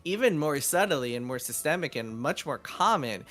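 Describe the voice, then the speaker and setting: A man's voice: fairly high pitch, expressive, normal speed, fairly bright tone. A man speaking as an interviewed journalist and author, explaining things informally in loose, colloquial sentences. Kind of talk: casual interview conversation.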